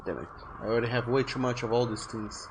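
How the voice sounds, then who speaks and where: A man's voice: medium pitch, fast, background noise. A man streaming a video game, chatting and thinking aloud in a rambling way, often restarting his sentences. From France. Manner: rambling, self-correcting, chatty. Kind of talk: casual gameplay commentary.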